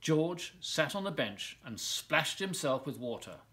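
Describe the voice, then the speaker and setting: A man reading a children's picture book aloud as a volunteer storyteller, expressively, with drawn-out words and dramatic pauses. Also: on the high side, sing-song, a bit bright.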